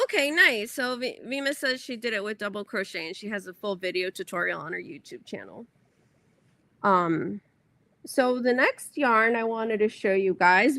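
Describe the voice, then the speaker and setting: Woman crochet host, casual stream chatter, self-correcting; higher, bright voice. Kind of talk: casual livestream chat.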